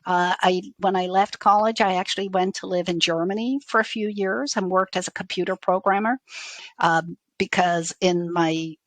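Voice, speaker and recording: Middle-aged woman, low-pitched, softened Irish accent. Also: fairly even intonation, moderate pace, no echo. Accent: faded Irish. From Dublin, Ireland. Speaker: university IT executive, interview guest. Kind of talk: conversational interview answers.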